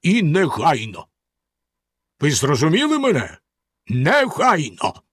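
A man voicing a cruel, cold husband who speaks slowly and sternly with menace. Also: fairly high pitch, very animated, no echo, exaggerated voice. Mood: menacing. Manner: slow, stern, threatening delivery.

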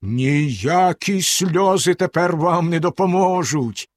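A man playing a cold, cruel storybook husband, measured and threatening, his words dropping one by one. The voice sits a little high for a man and sounds close and dry. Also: animated, no echo. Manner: slow, stern, threatening delivery.

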